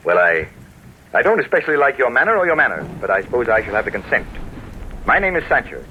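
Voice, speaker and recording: An actor playing a reasonable, reluctant peacemaking gentleman with a measured, protesting manner in an old-time radio drama. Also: medium pitch, sing-song, lightly acted. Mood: reluctant, brisk. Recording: dull vintage radio recording, faint noise.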